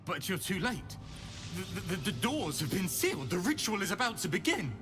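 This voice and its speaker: An actor playing a fretful, fanatically devoted servant of a vampire lord in a video game, flustered and ominous, prone to stammering. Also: male voice, sits high, big pitch swings, normal speed, over music. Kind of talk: dramatic video game dialogue.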